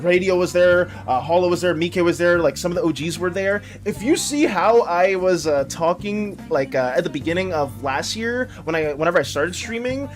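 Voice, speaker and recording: High-voiced rambling man, slightly hoarse. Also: animated, normal speed, hardly any pauses. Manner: rambling, stammering, repeats words; reads chat messages aloud and thanks viewers. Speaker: livestreamer. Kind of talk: casual livestream chat.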